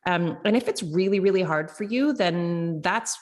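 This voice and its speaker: A woman hosts a podcast and speaks straight to her listeners, earnest and encouraging. Her voice is notably low for a woman. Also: moderate pace, a little mellow. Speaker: podcast host and coach. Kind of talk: podcast interview.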